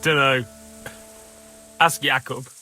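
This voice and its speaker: An actor playing a shaken, bitter male raid survivor in a video game, speaking haltingly. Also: mid-range voice, fairly even intonation, sharp tone, faint music behind. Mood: curt. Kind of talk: video game dialogue acting.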